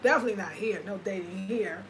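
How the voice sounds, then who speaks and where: A woman's voice: mid-range voice, quick pace, a little hiss or hum. A woman in her fifties with an American accent, chatting casually and confidingly to viewers on her livestream, full of fillers. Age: 50s. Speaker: lifestyle vlogger.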